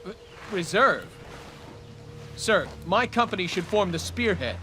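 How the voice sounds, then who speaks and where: Hot-headed captain, high pitch, swooping intonation, keyed-up, game cutscene. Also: male voice, big pitch swings, unhurried, pushed and bright, full character voice. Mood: indignant. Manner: protesting, keyed-up. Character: eager, glory-hungry young army captain. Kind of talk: dramatic game cutscene acting. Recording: music and vehicle noise behind.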